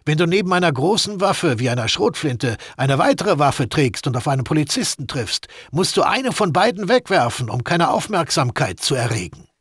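Husky mafia mentor. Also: male voice, on the high side, very animated, moderate pace, few pauses, a bit bright, dry recording, clean studio sound, full character voice. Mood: instructive. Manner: instructive, streetwise. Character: matter-of-fact middle-aged mafia weapons man, mentoring. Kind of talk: video game voice acting.

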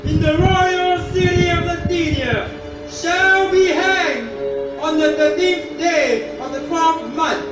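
An actor playing a royal herald in a stage play, declaiming a decree in a loud shout. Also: very high voice, slow pace, distant mic. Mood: proclaiming. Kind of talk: live stage play acting. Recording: echoey stage from afar, loud music.

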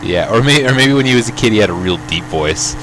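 Lively low-voiced man joking over gameplay. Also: quick pace, background noise. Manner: laughs often, joking and self-aware. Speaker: gameplay co-host. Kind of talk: casual let's-play banter.

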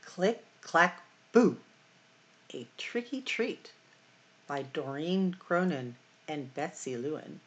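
A middle-aged woman hosting a library storytime, reading picture books aloud in a deliberate, expressive way for young children. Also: deep voice, muffled recording. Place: small room at home or office, indoors.

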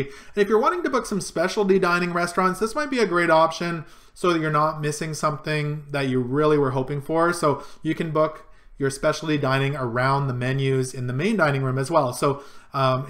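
A man in his thirties hosting a casual screen-share tutorial from a small home office, listing items in a relaxed way with frequent ums, recorded on a desk webcam. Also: a little high. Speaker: cruise vlogger.

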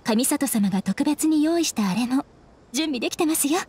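Young shopkeeper, very high pitch, friendly, courteous service manner, anime game, faint music. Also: female voice, animated, normal speed, darkish tone. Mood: friendly, grateful. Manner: courteous customer-service speech. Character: polite, friendly young female kimono shopkeeper. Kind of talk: Japanese anime game voice acting.

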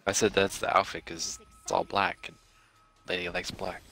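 A man's voice: low-pitched, little pitch movement, moderate pace, creaky, sharp tone, soft background music. A man on a gaming podcast, drawling and making laid-back jokes. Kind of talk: casual gaming chat.

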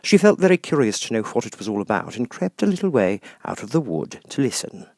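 A man reading a children's classic aloud as an audiobook narrator, in expressive storytelling style. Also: medium pitch, brisk, gravelly, no room sound, clean studio sound.

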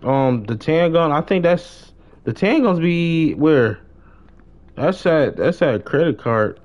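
A man's voice: fairly high pitch, animated, slow pace, darkish tone. Young american guy streaming a game, slangy n chatty, kinda mumbling to himself, dull sounding mic. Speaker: live streamer. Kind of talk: casual gaming livestream chat.

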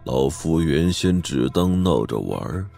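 Gravelly, haughty ancient sword master. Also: male voice, very deep voice, expressive, dark tone, faint music behind, exaggerated voice. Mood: haughty defiance. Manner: scornful, unhurried, archaic self-important phrasing. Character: haughty hundred-year-old male sword master. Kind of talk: audio drama acting.

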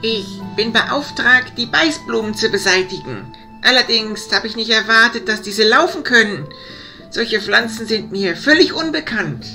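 A woman's voice: fairly high pitch, lively intonation, moderate pace, bright, forceful tone, faint music behind. A woman playing a brave but puzzled human male hero in a fantasy audio drama, speaking in an earnest, wondering way. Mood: bewildered.

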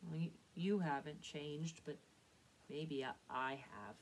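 A woman's voice: low-pitched, slow pace, slight background noise, pitched below the performer's own voice. A woman voicing a brash male duck who leads the flock in a children's story, with a blustering manner. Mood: sheepish.

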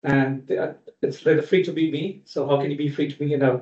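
A man from India with an Indian accent, introducing himself at a round-table meeting in a measured way. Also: a little high, fairly even intonation, darkish tone. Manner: measured, with frequent "uh" and "kind of". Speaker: panelist. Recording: distant, echoey, dull lo-fi room sound.

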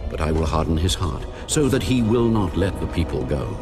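Almighty God for Bible audio drama: very low, solemn, authoritative, over loud score. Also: male voice, sing-song. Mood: solemn, commanding. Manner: grave, deliberate, authoritative. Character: God, commanding, solemn, all-powerful male deity. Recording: dramatic music under the voice.

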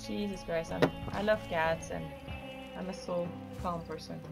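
A woman improvising casual in-character game roleplay, speaking in a halting, expressive way. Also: lowish voice, gravelly, music in the background. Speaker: roleplayer playing an accused witch.

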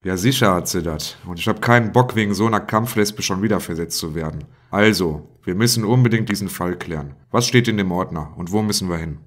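A man's voice: low voice, fairly quick, hardly any pauses. A man playing a blunt, coarse, self-important police inspector in a parody detective audio drama. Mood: irritated.

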